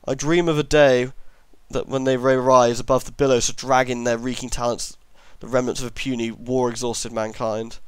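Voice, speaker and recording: Male amateur narrator, mid-pitched, horror story reading, dry sound, occasional stumbles on long words. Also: level tone, normal speed, few pauses, clear, resonant tone, no echo. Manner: reads with stumbles over long words, self-correcting.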